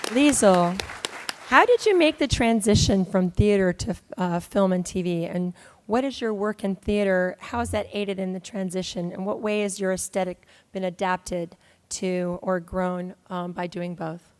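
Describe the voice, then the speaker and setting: Woman moderator, mid-pitched, effusive questioner. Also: unhurried. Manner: warm, effusive toward guests. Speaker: panel moderator. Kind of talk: asking panel questions. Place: convention panel hall.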